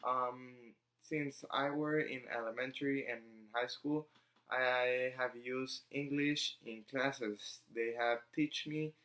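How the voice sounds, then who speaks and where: A young man speaks English, his tongue showing through. He talks at an unhurried, slow pace and often stops to search for words with ums. His voice sits at an ordinary middle pitch. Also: steady tone, sharp tone. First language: Spanish. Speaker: student vlogger. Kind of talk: casual personal vlog.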